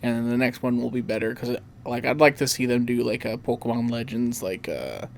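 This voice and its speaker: A man's voice: medium pitch, a little fast, gravelly, a little hiss or hum. A man hosting a podcast, chatting casually about video games in a rambling way full of "like". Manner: rambling, peppered with "like". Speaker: podcast host.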